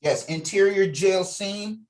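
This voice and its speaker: A man's voice: high voice, slow, darkish tone, slight background noise. A man leading a table read, mixing informal rehearsal talk with reading out stage directions. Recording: distant mic on online call.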